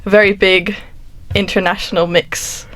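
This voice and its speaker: Young woman from Finland, relaxed guest. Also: medium pitch, moderate pace. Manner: relaxed, thoughtful, uses like and um. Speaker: podcast guest. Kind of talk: casual podcast chat.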